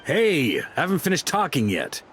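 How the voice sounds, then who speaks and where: A man playing a stern but humane knight grandmaster in a video game, measured and commanding. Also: on the high side, wildly swooping pitch, over loud music.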